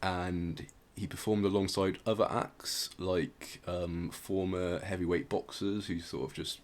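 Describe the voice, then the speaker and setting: A man hosting a podcast, informally explaining music history in a halting way as he searches for words. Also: low voice, fairly even intonation. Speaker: podcast host.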